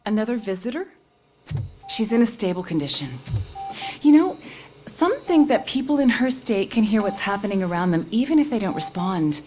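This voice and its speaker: An actress playing a kindly, reassuring hospital nurse in a video game, gentle and encouraging. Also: female voice, mid-range voice, sing-song, moderate pace, close to an everyday voice.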